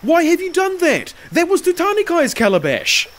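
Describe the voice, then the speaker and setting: A man voicing a frightened, servile slave in a storytelling, with a shrill voice and a flustered stammer. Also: huge pitch swings, measured pace, a bit bright, slightly rough, full character voice. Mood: frightened, angry.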